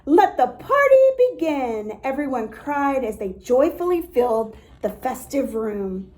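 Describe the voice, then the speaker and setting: A woman reading a story aloud to young children in an expressive way, with little asides to her listeners. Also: high voice, a little slow. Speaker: storytime reader for a dance academy.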